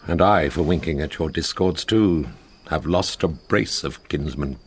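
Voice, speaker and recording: An actor playing a stern, commanding prince in a classical radio drama, passing judgement in formal, declamatory verse. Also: male voice, rumbling low, measured pace, a little hiss or hum. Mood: stern, grieving.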